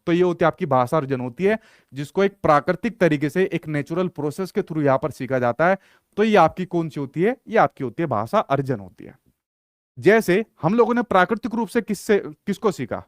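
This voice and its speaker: Young male teacher, repetitive lecture style, answers own questions, slightly high voice, dry sound. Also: no room sound. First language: Hindi. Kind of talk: online exam-prep lecture.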